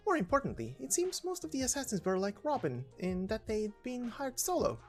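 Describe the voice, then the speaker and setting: A man's voice: very high voice, wildly swooping pitch, brisk, faint music behind, pitched above the performer's own voice, heavily acted. A man voicing a chatty, breezy, teasing informant in a game story reading, speaking casually with rhetorical questions. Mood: musing.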